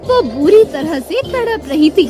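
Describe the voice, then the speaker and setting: Female narrator for cartoon moral tale: extremely high register, expressive melody, prominent music bed. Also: measured pace. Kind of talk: scripted children's moral story narration. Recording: loud music bed behind voice.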